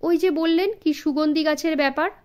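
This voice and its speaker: A woman's voice: high-pitched, fairly even intonation, fairly quick, darkish tone, pitched above the performer's own voice, natural voice. A woman voicing a fifteen-year-old boy assistant in an audiobook, with a hesitant, flustered manner.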